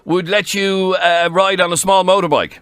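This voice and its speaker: A man with a Dublin accent hosting a radio phone-in, bantering with callers in a lively way. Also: high-pitched, moderate pace, clean tone, no echo. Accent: Irish, Dublin.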